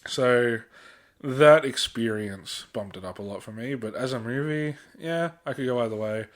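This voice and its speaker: A man's voice: mid-range voice, moderate pace. A young Australian man co-hosting a podcast in casual chat, laid-back, slangy and rambling as he talks. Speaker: podcast co-host.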